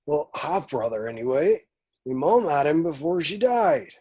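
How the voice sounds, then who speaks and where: A man playing a gruff, soft-hearted half-giant gamekeeper in a script read, folksy and protective. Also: a little high, sing-song, moderate pace. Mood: fond, coaxing. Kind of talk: table read of a film script.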